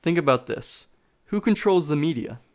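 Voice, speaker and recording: A man's voice: a little high, animated, normal speed, a little mellow. A man delivering scripted video essay commentary in an informal, sarcastic way.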